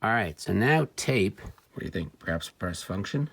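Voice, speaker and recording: A man giving a casual, off-the-cuff gadget demo, wry and excitable, talking to himself as he tinkers. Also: fairly low pitch, moderate pace. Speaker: vintage electronics seller demonstrating a device. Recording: music playing behind the voice. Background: music from the player being tested.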